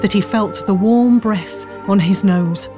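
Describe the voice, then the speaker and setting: A woman's voice: medium pitch, measured pace, mellow, soft background music. A woman narrating a scripted period adventure tale in a dramatic storytelling style.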